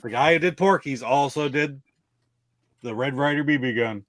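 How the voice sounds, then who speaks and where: A man's voice: mid-range voice, normal speed. A man talking casually as a panelist in a group chat show. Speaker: podcast panelist.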